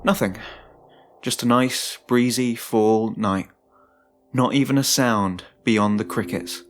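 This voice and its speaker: A man giving a dramatic, suspenseful first-person narration of a horror story, in a deliberate manner. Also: medium pitch, steady tone, noisy background. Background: music.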